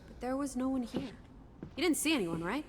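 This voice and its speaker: A woman's voice: high voice, expressive, brisk, long reverb tail, slight background noise. A woman playing a sociable, teasing young companion in a 1920s video game drama, full of playful old-fashioned slang. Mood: curious.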